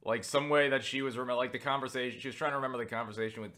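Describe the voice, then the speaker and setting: A man's voice: mid-range voice, steady tone, rapid-fire, bright, forceful tone. A man from the United States answering viewer questions in a casual vlog, rambling, joking, swearing freely and poking fun at himself. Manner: self-deprecating.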